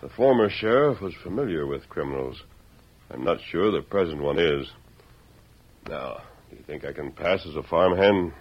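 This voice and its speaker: A muffled old radio recording of a resolute western lawman. His voice is very deep and swings expressively, yet his manner stays calm, formal and authoritative. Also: moderate pace, husky, exaggerated voice. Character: calm, resolute masked lawman posing as farmhand.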